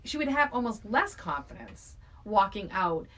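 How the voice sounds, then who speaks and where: Middle-aged female podcast voice, average pitch, conversational, outspoken, informal. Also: very animated, moderate pace, a bit bright. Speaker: podcast co-host. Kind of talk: casual film discussion.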